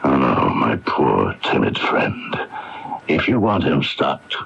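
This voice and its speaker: Very deep, gravelly menacing man. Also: sing-song, takes it slowly, a bit bright, heavily acted. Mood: menacing, taunting. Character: menacing, sly man goading a timid friend. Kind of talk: 1980s radio drama acting. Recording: dull, hissy AM radio recording.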